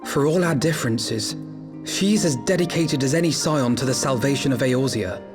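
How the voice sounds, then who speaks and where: A man's voice: medium pitch, lightly acted. Actor as earnest young nobleman over music. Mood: sorrowful. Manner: formal, eloquent, measured. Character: earnest, refined young nobleman, troubled and idealistic. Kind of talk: video game cutscene acting. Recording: background music under the dialogue.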